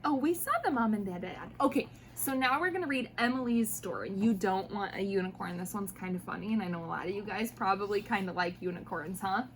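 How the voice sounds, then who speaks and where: A woman reading picture books aloud to children in an expressive, playful way, talking directly to her young listeners. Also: medium pitch, fairly quick, few pauses, a little hiss or hum. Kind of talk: animated storybook read-aloud.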